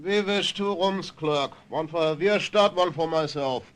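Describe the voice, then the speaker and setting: A man's voice: on the high side, a little slow, natural voice. An actor playing a curt, imperious German man in an old radio drama, with a German accent and a haughty, demanding manner. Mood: haughty, irritated. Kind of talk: 1950s radio drama acting. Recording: noisy vintage radio recording, clicks.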